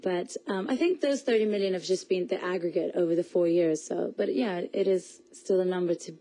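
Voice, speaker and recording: Young woman from Portugal, singer guest on radio; low, mellow voice, fast talk with restarts. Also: low-quality recording. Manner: chatty, quick, many restarts and laughs. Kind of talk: radio interview answers. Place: radio studio.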